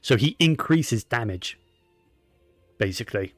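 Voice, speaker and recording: A man's voice: mid-range voice, lively intonation, measured pace, no echo, soft background music. Man giving rambling, opinionated game commentary. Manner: opinionated, rambling, talks to himself. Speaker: gaming streamer. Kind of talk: casual gameplay commentary.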